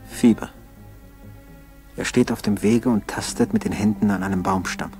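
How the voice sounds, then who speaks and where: An actor playing a solemn narrator who gives terse present-tense commentary in a 1960s German radio play. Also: male voice, fairly low pitch, steady tone, moderate pace, darkish tone, soft background music, close to an everyday voice. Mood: tense, observing. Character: grave male narrator urgently observing a struggling man.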